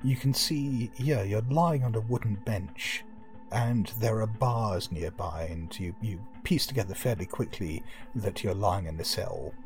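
A man running a tabletop horror game, narrating the scene in a measured, descriptive way. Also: on the low side, faint music behind. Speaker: game master.

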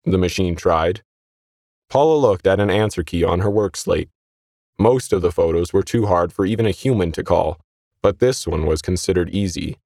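A man's voice: sits low, normal speed, creaky, darkish tone, no echo, clean studio sound. A man narrating a scripted short story as its reader.

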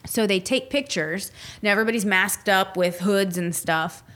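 A woman's voice: mid-range voice, normal speed, a bit bright. A woman hosting a podcast, chatting casually and enthusiastically about reality TV and celebrity gossip, with a teasing edge. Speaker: podcast host. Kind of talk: casual pop-culture chat.

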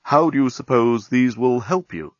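A man voicing a smug, condescending male alien starship navigator, speaking in a slow, deliberate and formal way for an audiobook. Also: mid-range voice, animated, no room sound, studio quality, close to an everyday voice. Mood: attentive. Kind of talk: audiobook character voice.

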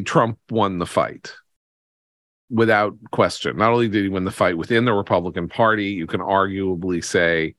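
Deep-voiced American man, dry close sound. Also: fairly bright tone, no echo. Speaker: podcast host. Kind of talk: political commentary in conversation.

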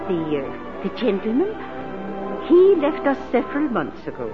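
A woman's voice: a little high, wildly swooping pitch, moderate pace. An actress playing a polite, apologetic woman florist at a sanatorium shop, in 1940s radio drama style. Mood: polite, flustered. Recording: muffled 1940s radio broadcast, music behind.

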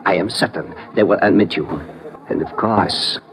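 An actor playing a polite, menacing Japanese fanatic in a 1950s radio drama, with a Japanese accent and formal, article-dropping phrasing. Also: male voice, deep voice, moderate pace, a crowd in the background, close to an everyday voice. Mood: courteous, calculating. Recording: noisy vintage 1950s radio recording.

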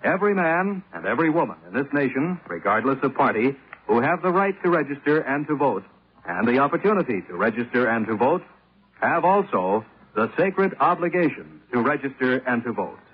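An American man delivers a formal public-service message for 1950s radio. His mid-pitched voice swings expressively in earnest, oratorical phrasing, on a muffled vintage recording. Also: big pitch swings, fairly quick, breathy edge. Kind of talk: scripted 1950s radio announcement.

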